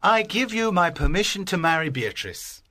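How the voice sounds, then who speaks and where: A woman voicing a commanding, jovial older gentleman, emphatic and authoritative, in storybook dialogue. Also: fairly low pitch, big pitch swings, moderate pace. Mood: pleased.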